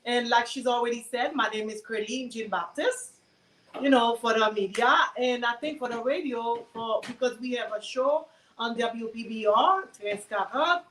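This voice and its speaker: A woman's voice: fairly high pitch, moderate pace, a bit bright, a little hiss or hum, rough recording. A woman, a guest chatting in a bubbly, effusive way. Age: 40s. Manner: bubbly, effusive, laughs easily. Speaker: guest, radio host. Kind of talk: casual chat.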